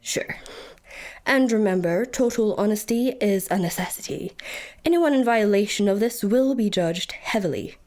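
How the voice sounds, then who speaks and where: An actress playing an officious, withering job centre interviewer in a radio comedy, with a pompous, formal, deadpan delivery. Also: female voice, mid-range voice, normal speed, natural voice. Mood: stern, judgmental. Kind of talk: radio sci-fi comedy acting.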